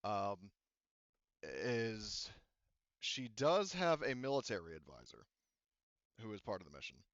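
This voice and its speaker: A man running a tabletop roleplaying game as game master, speaking casually and haltingly as he improvises details. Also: fairly low pitch, very animated, a bit bright, no room sound, lo-fi sound. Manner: halting, hesitant delivery as he improvises.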